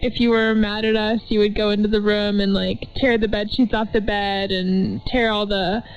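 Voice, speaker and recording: A woman's voice: medium pitch, little pitch movement, normal speed, clean tone, soft background music. A woman in her thirties chatting casually and teasingly on a call. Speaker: sibling in conversation. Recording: dull lo-fi call sound.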